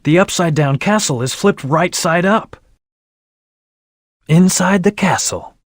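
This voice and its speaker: A man's voice: high voice, unhurried, dry recording, studio quality. A man voicing a serious young hedgehog antihero in a video game, intense and terse. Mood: curious.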